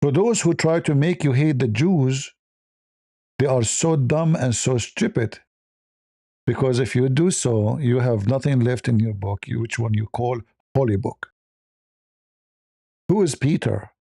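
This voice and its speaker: A man's voice: mid-range voice, a little slow, pauses often, dark tone, no room sound, clean studio sound. A man who speaks English as a second language, giving combative, informal religious commentary full of repeated rhetorical questions. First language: not English.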